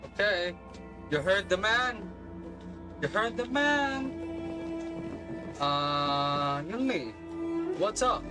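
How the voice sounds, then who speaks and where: A woman with a mid-pitched voice for commentary on a game she is streaming. She takes her time, speaking very slowly in casual slang and exclamations, with game music clearly behind her. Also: big pitch swings.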